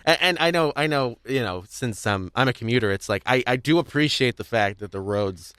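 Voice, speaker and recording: Young man hosting, lively swooping pitch. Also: smooth, dry recording, studio quality. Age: young adult. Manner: rambling, halting, self-restarting. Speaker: podcast host. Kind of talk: casual conversational hosting.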